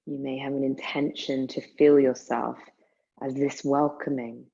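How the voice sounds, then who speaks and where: A woman guiding a meditation in a soothing, unhurried manner, leaving long pauses between phrases. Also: very deep voice, flat delivery, creaky, warm tone, husky, muffled recording. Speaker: yoga nidra meditation guide. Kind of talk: guided meditation.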